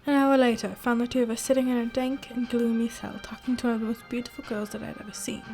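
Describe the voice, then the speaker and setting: A woman playing a puzzled, loyal gentleman companion and storyteller in a radio drama, earnest and old-fashioned in phrasing. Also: on the high side, little pitch movement, quick pace, soft background music, lightly acted. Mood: narrating.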